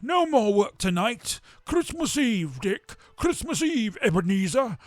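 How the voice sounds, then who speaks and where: A man voicing a jolly, fat old male merchant who calls out loudly and heartily in a storybook reading. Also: high-pitched, big pitch swings, a little slow, no room sound, voice pushed up. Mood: jovial, booming. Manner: loud, hearty, calling out.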